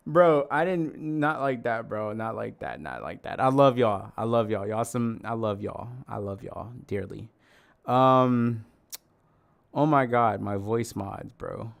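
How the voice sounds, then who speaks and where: A man livestreaming and chatting loosely with his viewers, loud, profane and jokey, saying he is losing his voice. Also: medium pitch, animated, slow, gravelly. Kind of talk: casual stream chat to viewers.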